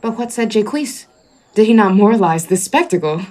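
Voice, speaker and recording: A woman's voice: mid-range voice, lively intonation, moderate pace, a little mellow, lightly acted. A woman playing a genial, philosophical exiled duke in a Shakespearean radio play, reflective and oratorical. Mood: musing, curious.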